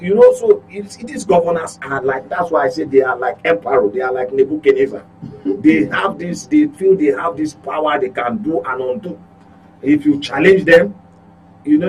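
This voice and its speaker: A man's voice: on the high side, a little hiss or hum, rough recording. A man with a Nigerian accent giving opinionated current affairs commentary as a journalist, speaking emphatically, repeating points and asking rhetorical questions.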